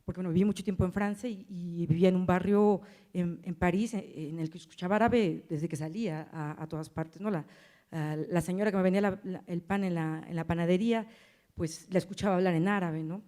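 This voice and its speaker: A woman's voice: on the low side, fairly even intonation, brisk, warm tone. A woman audience member asks a question at a lecture in a hesitant, rambling, self-deprecating way, with frequent tag questions. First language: Spanish. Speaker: audience member asking a question, language teacher.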